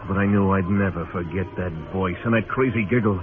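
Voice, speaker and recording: An actor playing a world-weary, laconic frontier marshal with a dry, brooding manner in a radio western. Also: male voice, sits low, fairly quick, natural voice. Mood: grim. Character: hard-bitten, world-weary male US marshal in Old West. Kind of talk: 1950s radio western narration and acting. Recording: tinny old radio recording, music behind.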